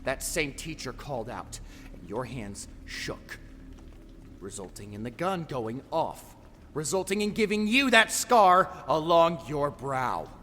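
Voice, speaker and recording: Casting: a grandiose, taunting villain for audio drama, forceful and cutting, theatrical delivery, long reverberant tail. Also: male voice, on the high side, expressive, unhurried. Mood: accusing, furious. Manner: theatrical, swinging from whispers to shouts. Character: menacing, taunting male tormentor, grandiose and theatrical. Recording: long hall reverb, faint noise.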